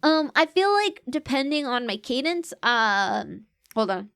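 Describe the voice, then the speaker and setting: A chatty woman talking casually on a stream, with a somewhat high voice. She rambles with many fillers and restarts. Her pitch rises and falls freely with what she is feeling. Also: big pitch swings, measured pace, fairly bright tone, smooth. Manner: pitch swings widely with mood.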